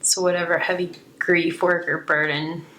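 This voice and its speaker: Low-voiced woman, slightly hoarse tarot reader. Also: flat delivery, normal speed, pushed and bright, a little hiss or hum. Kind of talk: unscripted spiritual card reading, reflective.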